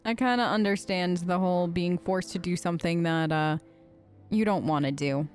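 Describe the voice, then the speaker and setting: A woman's voice: on the low side, moderate pace, a little mellow, faint music behind. A woman role-playing in a video game let's play, casual and wry with a hesitant, improvised delivery.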